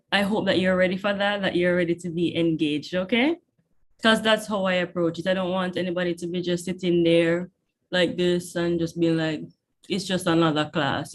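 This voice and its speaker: A young woman with a Jamaican accent leads a live online chemistry class through her computer mic. Her voice sits a little low, and she talks in a chatty, encouraging way, often stopping to check that her students are with her. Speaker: chemistry tutor. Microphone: computer mic on video call.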